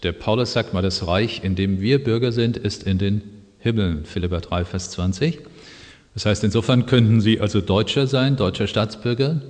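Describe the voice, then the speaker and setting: A man's voice: fairly low pitch, a little mellow. A man from Germany preaching a sermon in a conversational, explanatory way, moving between Bible quotations and personal anecdotes. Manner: conversational, explanatory, pausing with fillers. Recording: long echoing reverb of a hall.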